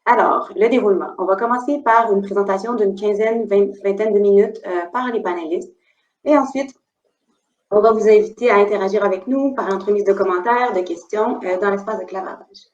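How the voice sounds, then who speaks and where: A woman with a Québécois French accent hosting an online panel over a video call, speaking in a measured way with frequent hesitations. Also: mid-range voice, darkish tone, roomy sound, muffled recording. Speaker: panel moderator.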